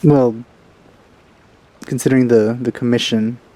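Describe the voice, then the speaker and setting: Male let's player, mellow tone, mid register, relaxed and wry casual commentary. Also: medium pitch, a little slow, rough recording.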